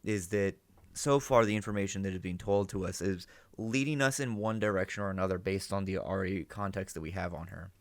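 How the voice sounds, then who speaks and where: A man's voice: sits low, brisk. A man giving casual analytical commentary as a reaction host, thinking aloud and often checking with "right?". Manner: musing, thinking aloud, seeking agreement with "right?".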